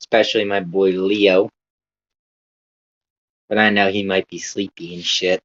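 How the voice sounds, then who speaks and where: A man with a thick American Southern drawl chats loosely with his livestream viewers and swears now and then. His voice sits on the low side, and the recording sounds dull and lo-fi. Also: level tone, measured pace, fairly bright tone. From Southern United States. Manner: rambling, laid-back, casual swearing. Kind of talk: casual livestream chat with viewers.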